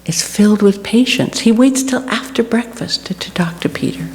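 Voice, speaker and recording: A woman giving an earnest, deliberate personal reflection to a church congregation. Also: medium pitch, expressive, darkish tone, in a whisper. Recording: echoey hall with clear background noise.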